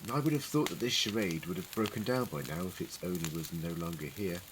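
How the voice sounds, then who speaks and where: A man playing an eccentric, dignified time-traveller in an audio drama, speaking in a wry, formal, wordy way with gentle authority. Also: sits low, brisk, lightly acted. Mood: measured, curious. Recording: prominent crackling ambience noise.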